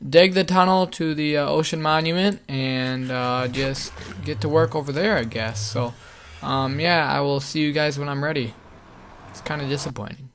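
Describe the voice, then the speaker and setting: A man's voice: fairly high pitch, fairly bright tone, clear, resonant tone. Man with a worn-out, fading voice. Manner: rambling, lots of fillers. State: losing his voice. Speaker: gaming channel host. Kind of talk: casual Let's Play commentary.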